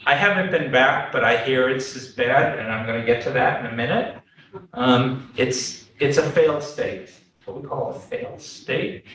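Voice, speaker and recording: An older American man giving an informal lecture, telling anecdotes in a halting, self-deprecating way. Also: mid-range voice, fairly bright tone, muffled recording. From Boston, United States. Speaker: guest lecturer, development consultant. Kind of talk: informal slide lecture with audience questions. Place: echoey meeting room before a small audience. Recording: very echoey room, distant microphone.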